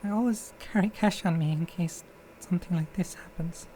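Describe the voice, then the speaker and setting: A woman playing a distressed, defensive murder suspect under questioning in an audio drama, speaking haltingly. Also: fairly low pitch, warm tone, a little hiss or hum, natural voice, rough recording. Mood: nervous, defensive. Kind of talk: dramatic interrogation acting.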